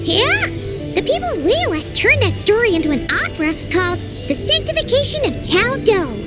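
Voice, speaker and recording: A squeaky, bouncy fairy sidekick, very high and lively, game music under her. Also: moderate pace. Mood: chatty. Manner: chirpy, refers to herself in third person. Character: tiny floating female companion, bubbly and excitable. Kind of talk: game voice acting.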